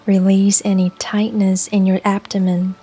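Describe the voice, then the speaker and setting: A woman narrating a scripted guided meditation in a calm, soothing, unhurried way, with long pauses between phrases. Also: medium pitch, slightly rough. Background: soft music and rain sounds.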